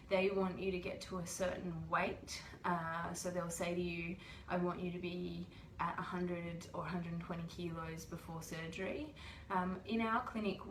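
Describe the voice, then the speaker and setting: A woman's voice: lowish voice, slight background noise. A woman who has a cold, hosting a casual livestream and explaining things patiently with lots of ums. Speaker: host. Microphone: webcam or phone livestream. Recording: echoey room.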